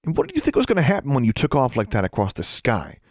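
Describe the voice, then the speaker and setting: A man's voice: mid-range voice, wildly swooping pitch, fast, darkish tone, dry recording, clean studio sound, pitched above the performer's own voice. A man voicing an indignant schoolboy wizard in a story, accusing and demanding. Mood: angry.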